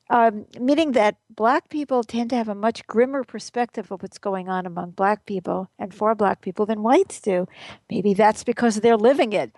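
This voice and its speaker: A woman's voice: mid-range voice, a little mellow, no echo. A woman hosting a talk radio show, speaking in a casual, earnest, chatty way with fillers and small laughs.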